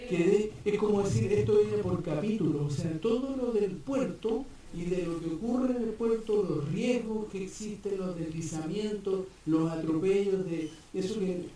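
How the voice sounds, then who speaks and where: A man speaks at a community forum, hesitant and full of fillers. His voice is dark and mellow and sits a little high for a man. He sounds distant, caught in a big echoing room. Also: normal speed, hardly any pauses. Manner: hesitant, many fillers and restarts. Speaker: park defender, invited speaker. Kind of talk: public talk at a community forum. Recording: echoey hall, mic far off.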